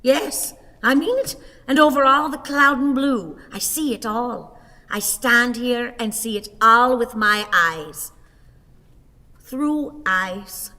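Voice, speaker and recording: An actress playing a querulous, sickly old wife, declaiming with grand indignation and dramatic pauses in a radio play. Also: female voice, on the high side, sharp tone. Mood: aggrieved, wistful. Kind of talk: stage radio play acting. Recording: long hall reverb, theatre sound.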